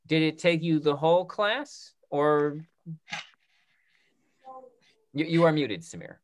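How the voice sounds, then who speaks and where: A man's voice: a little high, slight background noise. A man in his thirties hosting a live question session on a video call, reading out comments and restarting his phrases as he goes. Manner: halting, restarts and repeats phrases. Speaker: host of an online theatre talk back. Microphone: computer mic on video call.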